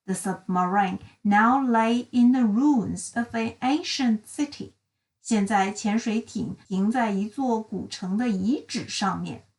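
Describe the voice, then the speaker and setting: A woman narrating a children's storybook in an expressive, dramatic and deliberate storytelling style. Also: a little high, recorded from a distance, poor audio. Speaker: read-aloud storyteller for children.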